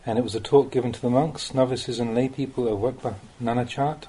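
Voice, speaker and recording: A man reading a Buddhist teaching aloud as an audiobook, as a monk would, with measured and expressive phrasing. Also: mid-range voice, slightly rough, rough recording. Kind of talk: read-aloud Dhamma talk, audiobook narration.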